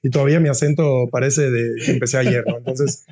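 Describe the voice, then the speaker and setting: A man's voice: mid-range voice, steady tone, a little fast, a little mellow. A middle-aged man, a university dean, talking earnestly in a casual interview and often restarting his phrases.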